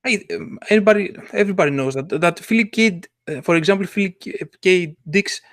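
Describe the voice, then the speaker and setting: Man, casual chat, halting, restarts, fairly high voice, dry recording. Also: fairly bright tone, no room sound. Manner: halting, many restarts and fillers.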